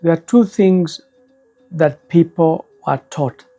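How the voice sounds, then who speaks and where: An older man, a guest elder recalling the past in slow, measured speech. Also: on the high side, warm tone, soft background music. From Kenya. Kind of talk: reflective storytelling interview.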